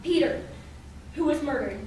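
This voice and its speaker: An earnest radio-play police detective played by a woman, pitched high for the part. The voice rings in a very echoey hall, some distance from the mic. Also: lively intonation, moderate pace, mellow, slight background noise. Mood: businesslike. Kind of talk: old-time radio drama acting.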